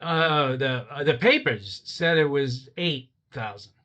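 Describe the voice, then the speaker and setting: An actor playing a nervous small-time crook under questioning, whining and stammering in a radio drama. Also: male voice, medium pitch, animated, slow, sharp tone, muffled recording. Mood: whiny. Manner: whiny and stammering.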